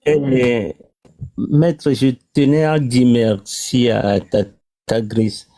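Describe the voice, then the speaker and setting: Guy, slow n hesitant, lots of vocal fry, dry close sound, telling his story. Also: mid-range voice, steady tone, dark tone, no echo. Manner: halting, hesitant. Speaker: guest on a live online panel. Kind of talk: casual personal testimony.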